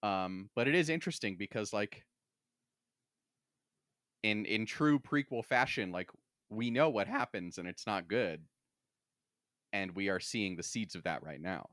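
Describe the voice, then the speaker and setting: A man's voice: fairly low pitch, expressive, a little slow, long pauses, fairly bright tone, dry recording, clean studio sound. A man talking casually as a podcast host, discussing things in a wordy, conversational way with lots of "like". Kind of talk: casual discussion of a sci-fi franchise.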